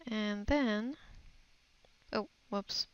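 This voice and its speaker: A woman's voice: mid-range voice, extremely slow, smooth, lo-fi sound. A woman giving an unscripted art tutorial voice-over, musing hesitantly as she thinks aloud while she works. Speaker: digital artist giving a tutorial. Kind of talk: unscripted voice-over of a painting process.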